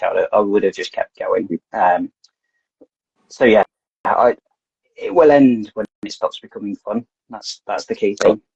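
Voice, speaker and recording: A man's voice: fairly low pitch, darkish tone, breathy edge, dry recording. A man guest on a podcast, chatting casually and rambling, often repeating words as he gets his thoughts out. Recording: dull lo-fi dry sound.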